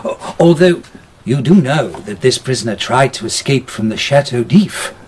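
An actor playing a stammering, fawning records clerk. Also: male voice, medium pitch, animated, moderate pace, a little mellow, slight background noise, lightly acted. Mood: nervous, obliging. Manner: hesitant and stammering. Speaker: actor in radio drama.

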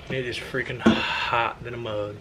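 A man's voice: mid-range voice, heavy background noise. A young man vlogging casually about his own truck build, chatty and self-deprecating, filmed on a handheld phone in his home garage. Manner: self-deprecating, chatty, talks to camera while working. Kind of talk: casual DIY vlog.

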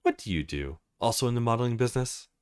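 A man's voice: medium pitch, animated, fast, clean tone, close to an everyday voice. A man voicing a casual, chatty young woman on a photo shoot in a visual novel. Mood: curious.

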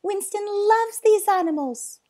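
A woman's voice: medium pitch, expressive, slow, darkish tone, slightly rough. A woman voicing a timid, earnest young boy in a storybook, prone to nervous stammering. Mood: pleading. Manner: stammering, spluttering.

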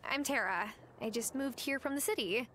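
A woman's voice: high voice, moderate pace, a bit bright, slight background noise. An actress voicing a friendly, wry and easygoing young woman in a cozy video game.

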